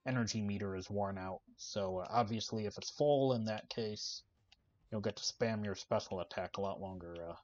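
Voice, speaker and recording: Male gaming host, low-pitched and soft-spoken, laid-back commentary, lo-fi mic sound. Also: normal speed. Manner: relaxed, many "uh" fillers, explains the game while playing. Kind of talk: casual gameplay commentary. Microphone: desk microphone.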